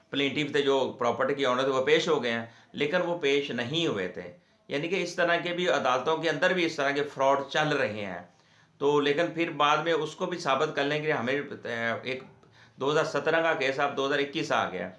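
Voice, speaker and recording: A man with a Pakistani accent gives an informal legal explainer in a halting, repetitive, explanatory way, as the host of an advice channel. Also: fairly high pitch, a bit bright. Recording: echoey room.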